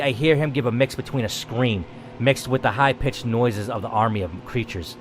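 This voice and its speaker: A man's voice: mid-range voice, slight background noise. A man reading a first-person game story aloud as the player, in a dramatic narrating style.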